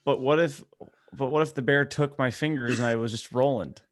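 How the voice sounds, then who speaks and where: A man's voice: medium pitch, fairly even intonation, fairly quick. A man hosting a casual comedy podcast, teasing and playful with the others. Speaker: podcast host.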